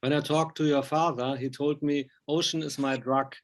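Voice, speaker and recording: A man's voice: a little high, flat delivery, normal speed. A man who is a native German speaker, asking conversational interview questions and hesitantly searching for words.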